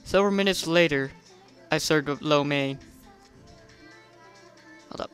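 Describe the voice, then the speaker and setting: A narrator giving a first-person dramatic story reading in an animated way, sometimes stumbling and rereading lines. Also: medium pitch, unhurried, faint music behind. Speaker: narrator reading a fan fiction.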